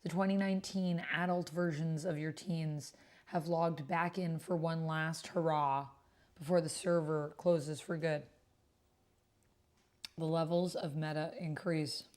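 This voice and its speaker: A woman's voice: low-pitched, steady tone, a little slow, darkish tone. A woman hosting a livestream, reading text aloud and breaking into casual, chatty asides. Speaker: livestream host and tabletop roleplay player. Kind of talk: casual livestream commentary mixed with reading chat messages aloud.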